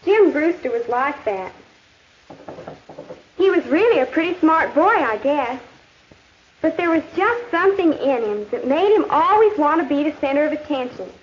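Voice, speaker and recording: A young woman playing an earnest high school girl with an American accent, narrating a 1950s educational film in a conversational way. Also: very animated, moderate pace. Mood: earnest, confiding. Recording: muffled, noisy 1950s film soundtrack.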